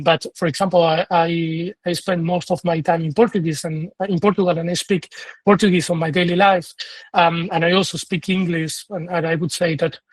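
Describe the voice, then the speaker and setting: A man's voice: high-pitched, dry recording, rough recording. A man from Spain speaking English with a Spanish accent, giving advice as an exam trainer in an online session, in short phrases with frequent fillers and restarts. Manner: earnest, advising tone.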